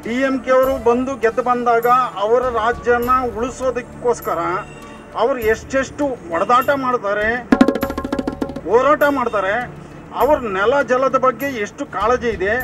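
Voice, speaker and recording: A man's voice: very high voice, fairly even intonation, a little slow, over music. A man, a member of the public giving an impromptu political opinion.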